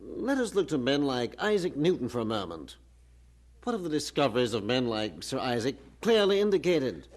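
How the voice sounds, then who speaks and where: An actor playing a learned, condescending professor who argues in a measured, didactic way in a radio drama. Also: male voice, medium pitch, very animated, lightly acted. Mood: patient lecturing. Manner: didactic, pompous, measured argument. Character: learned, condescending male university professor arguing patiently.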